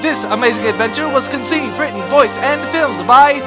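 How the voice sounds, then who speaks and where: A man's voice: extremely high pitch, normal speed, loud music behind the voice. A man giving scripted narration and credits as the narrator of an audio show.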